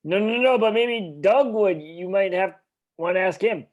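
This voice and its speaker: Cartoon Rottweiler sidekick, read by a man, slightly high voice, casual, hesitant, wary of the boss. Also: normal speed, darkish tone, dull audio, lightly acted. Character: wary Rottweiler henchman, deferential to his boss. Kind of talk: animated film script table read.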